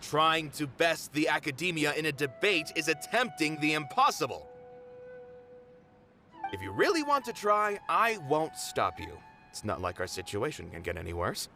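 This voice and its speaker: A man's voice: a little high, big pitch swings, moderate pace, bright, forceful tone, faint music behind, full character voice. A man voicing a weary, resigned male theater troupe member in a game.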